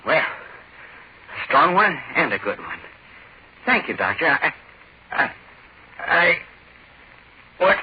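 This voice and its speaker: Poisoned menacing man, stammering, halting, 1940s radio horror acting, dull sound, background noise. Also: on the high side, sing-song. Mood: smug, halting. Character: poisoned man, choking, gasping and threatening to kill. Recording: muffled, noisy 1940s radio recording.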